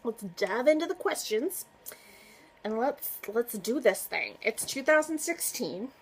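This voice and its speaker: A woman's voice: a little high, very animated, unhurried, slight background noise. A woman talking casually to camera as a book vlogger, chatty and earnest, often trailing off and restarting. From United States. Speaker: booktuber. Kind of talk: casual book tag vlog.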